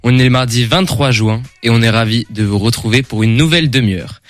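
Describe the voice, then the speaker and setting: A man's voice: mid-range voice, fairly quick, dry recording, faint music behind. Young male radio host, chatty. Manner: relaxed, chatty, with restarts. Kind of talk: student radio hosting. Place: radio studio. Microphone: studio mic.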